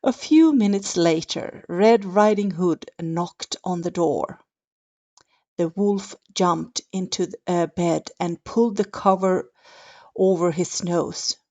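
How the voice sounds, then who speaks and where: A woman tells a children's bedtime story at an unhurried pace. Her voice has noticeable fry, and she reads gently and deliberately, with expression. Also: fairly low pitch, lo-fi sound. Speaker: mother reading a bedtime story.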